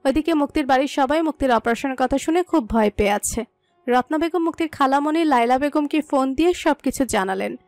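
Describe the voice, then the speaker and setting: A woman narrates a scripted dramatic story. Her voice is high and sits in a fairly dry recording, with soft music behind her. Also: fairly quick, no echo.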